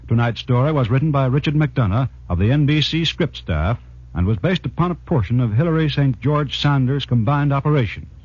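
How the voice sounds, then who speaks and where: An American man announces in formal 1940s radio style, with deliberate phrasing. His voice is husky and very lively in pitch, on a dull old broadcast recording. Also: dry recording.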